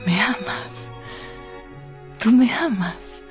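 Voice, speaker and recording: A woman's voice: a little high, expressive, drawn-out words. A woman playing a naive, lovestruck young actress in a radio drama, tender, girlish and theatrical. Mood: enchanted. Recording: music behind the voice.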